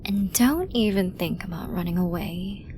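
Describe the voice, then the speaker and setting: A woman's voice: fairly low pitch, animated, normal speed, noisy background, close to an everyday voice. A woman playing a flirty, dominant villain who taunts a hostage in an ASMR roleplay, teasing and menacing, often dropping toward a whisper. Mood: commanding, teasing. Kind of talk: ASMR roleplay audio drama.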